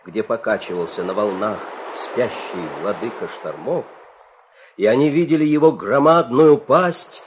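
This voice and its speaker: A man narrating a fairy tale in a measured, expressive, theatrical storytelling style. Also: medium pitch, background noise. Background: music.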